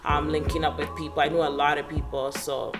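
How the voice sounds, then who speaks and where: A woman's voice: low voice, brisk. Chatty young woman, music behind. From Canada. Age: 20s. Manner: chatty and animated, lots of "like" and laughs. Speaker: podcast guest. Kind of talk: casual interview chat.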